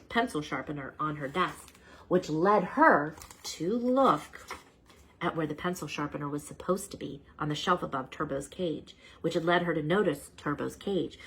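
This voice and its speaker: A woman reading a children's storybook aloud as an animated storyteller. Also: fairly low pitch, breathy edge, poor audio. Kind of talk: expressive read-aloud narration.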